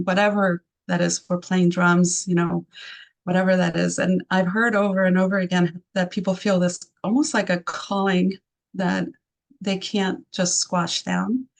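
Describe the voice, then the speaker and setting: A woman from Argentina, a native Spanish speaker with an Argentine accent, answering interview questions thoughtfully with many pauses and fillers. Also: fairly low pitch, gravelly. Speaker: arts program founder.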